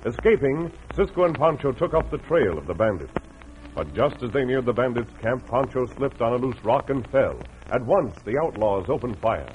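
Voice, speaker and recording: A man's voice: mid-range voice, sing-song, normal speed, a little hiss or hum. Tinny old-time American radio announcer man. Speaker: announcer-narrator. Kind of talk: dramatic 1940s-50s radio narration. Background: music.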